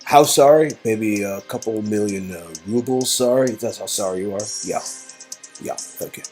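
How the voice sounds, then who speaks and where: A man's voice: lowish voice, normal speed, a little mellow, faint music behind, lightly acted. A man playing the sarcastic, exasperated hero of a story game, rambling and repeating words. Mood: betrayed, sarcastic.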